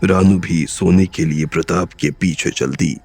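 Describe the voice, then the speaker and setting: A man narrating an audio drama in a steady, scripted storytelling manner. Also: low voice, unhurried, vocal fry.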